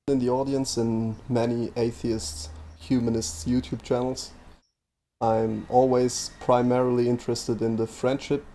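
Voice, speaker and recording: A man from Switzerland with a Swiss German accent, reading a prepared presentation in a measured way. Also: medium pitch, flat delivery, mellow, slight background noise. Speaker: video presenter. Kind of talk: scripted presentation.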